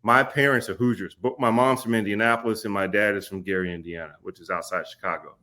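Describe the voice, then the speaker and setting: A man's voice: fairly low pitch, steady tone, bright, forceful tone. Laid-back man telling a story. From Kentucky. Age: 40s. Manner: easygoing, laughs often, pauses with fillers. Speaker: podcast guest. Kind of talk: casual storytelling conversation.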